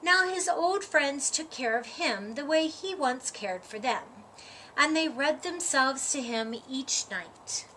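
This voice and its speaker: A woman with a high voice narrates a children's storybook. She takes it slowly, shaping phrases with expressive, deliberate care. There is audible noise in the recording. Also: poor audio. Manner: deliberate, dramatic phrasing with drawn-out words. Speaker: storyteller reading a children's picture book.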